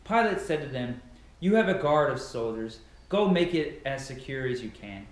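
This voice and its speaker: A man's voice: medium pitch, animated, moderate pace. A young adult man giving a dramatic Bible reading in a declamatory, emphatic way, recorded by a camera a few meters away in a large living room. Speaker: reader of scripture. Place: large, sparsely furnished living room indoors. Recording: very echoey room, camera at a distance.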